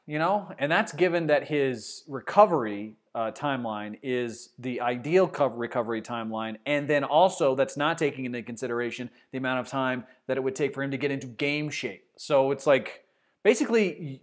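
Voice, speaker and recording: A man from Arkansas with a mostly neutral Midwestern-sounding voice, hosting a livestream show, rambling and sarcastic as he reads chat comments. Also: medium pitch. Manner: rambling, sarcastic, trails off and restarts. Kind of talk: casual football talk, reading chat comments.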